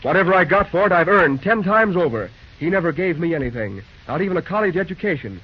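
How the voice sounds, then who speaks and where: A man's voice: on the high side, big pitch swings, fast talker, breathy edge. An actor playing a brash, determined young American railroad man in a breezy, wisecracking old radio drama style. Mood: defiant. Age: young adult. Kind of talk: 1940s radio acting. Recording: muffled vintage radio recording.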